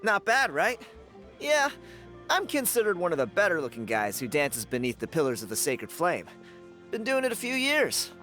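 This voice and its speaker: Breezy young male dancer, self-assured, animated pitch, easygoing, video game, faint music. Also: moderate pace, exaggerated voice. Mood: delighted, boastful. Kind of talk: video game character acting.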